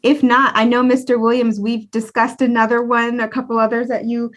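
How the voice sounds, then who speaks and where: A woman's voice: fairly high pitch, little pitch movement, fairly quick. A woman speaking casually and conversationally as the host of a museum program. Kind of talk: casual conversational hosting and storytelling.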